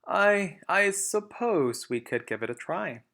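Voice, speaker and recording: A man voicing a thoughtful, devoted father fox in a children's storybook, speaking carefully and deliberately. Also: sits high, lively intonation, sharp tone, pitched above the performer's own voice, full character voice. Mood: gentle, resolved. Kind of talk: children's storybook read-aloud.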